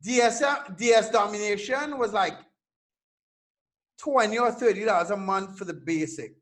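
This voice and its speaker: A man in his thirties from Trinidad and Tobago with a notably high voice. He talks as an interview guest, animated and loose, dropping curses and stumbling over repeated words as he tells his story. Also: normal speed. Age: 30s. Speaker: guest entrepreneur.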